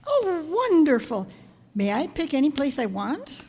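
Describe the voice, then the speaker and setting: A wry, wisecracking schoolteacher played by a woman speaks in a high voice from a reverberant hall. She is scheming and lovestruck, with a dry delivery out of old-time radio comedy. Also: sing-song, measured pace, a little mellow, full character voice. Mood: coy, delighted. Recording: echoey hall, long reverb.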